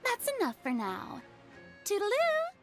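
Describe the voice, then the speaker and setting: A woman's voice: very high-pitched, wildly swooping pitch, measured pace, heavily acted. A woman voicing a theatrical young goddess of justice in a video game, pompous, grandiose and dramatic. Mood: amused, grandiose. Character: theatrical young female god of justice, haughty, showy. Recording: music under the voice.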